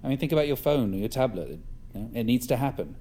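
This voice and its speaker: An actor playing a glib, slightly awkward tech specialist in an audio drama, with a casual way of explaining things. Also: male voice, medium pitch, animated, very fast, mellow, lightly acted. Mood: casual, evasive. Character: glib, slightly awkward techie man, quietly scheming. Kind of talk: naturalistic audio drama acting.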